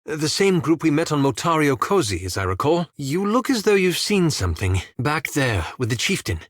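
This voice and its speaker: A man playing a reserved, scholarly, self-doubting young elf adventurer in a video game, speaking in a formal, measured, wryly understated way. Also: a little high, big pitch swings, no echo, studio quality. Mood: pensive. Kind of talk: video game companion dialogue.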